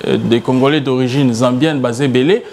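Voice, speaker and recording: A man speaking as a guest in a political debate, halting and often searching for words. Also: mid-range voice, fairly even intonation, creaky, a little mellow, slight background noise. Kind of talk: political debate in French and Lingala.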